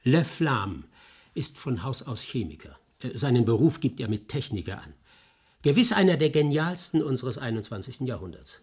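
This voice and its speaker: An actor playing a sharp, persistent male investigator in a German radio play, explaining in a measured, insistent way with a slightly husky voice. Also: mid-range voice, big pitch swings. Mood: explanatory, assured.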